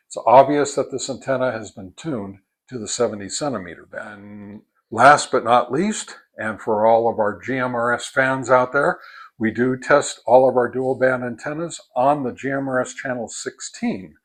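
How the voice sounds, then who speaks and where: An older American man giving a casual, unhurried product review, explaining things step by step. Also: medium pitch. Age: older, 60s or above.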